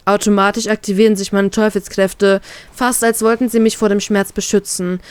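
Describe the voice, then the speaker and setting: A woman reading her own fan fiction aloud in an expressive, emotional way. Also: mid-range voice, smooth, no room sound. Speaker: fan fiction author and reader.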